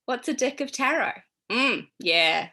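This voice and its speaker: A woman's voice: on the high side, animated, measured pace, bright, forceful tone. A young woman with a slight New Zealand accent teaching a casual tarot class, rambling and chatty with many fillers.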